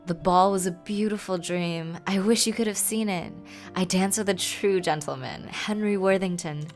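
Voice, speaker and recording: A woman's voice: medium pitch, moderate pace, faint music behind, lightly acted. Woman voicing a romantic young Regency lady, genteel. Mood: smitten. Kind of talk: reading game dialogue aloud.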